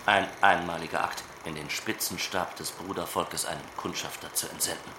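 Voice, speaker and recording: A man's voice: low-pitched, steady tone, moderate pace, natural voice. A man playing a wary, reproachful Soviet KGB officer in a dramatised dialogue, questioning tersely. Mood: probing, reproachful. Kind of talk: dramatised reading of a literary dialogue. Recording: roomy sound with loud ambient noise.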